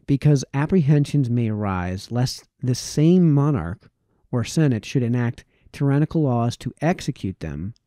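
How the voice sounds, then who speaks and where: Dark, mellow man reading aloud expressively. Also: mid-range voice, no room sound, studio quality. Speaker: audiobook reader. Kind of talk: reading an old political text aloud.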